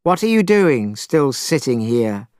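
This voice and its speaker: A man's voice: mid-range voice, very animated, a little slow, darkish tone, clear, resonant tone, no echo, clean studio sound. A man voicing a hot-tempered, boastful male monkey king in a storybook reading, talking in a brash, proud way. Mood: defiant.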